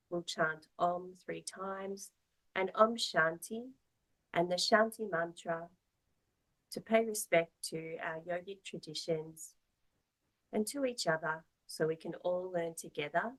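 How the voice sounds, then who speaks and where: A woman teaching yoga, guiding a meditation in a soothing, measured, gently instructive manner. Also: lowish voice, low-quality recording.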